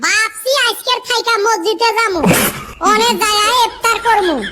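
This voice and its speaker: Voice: extremely high pitch, normal speed, very noisy. An actor voicing a cheeky, short-tempered village boy in a comedy cartoon, bantering and scolding in a dialect. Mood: grumbling, scheming. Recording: loud music behind the voice. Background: music.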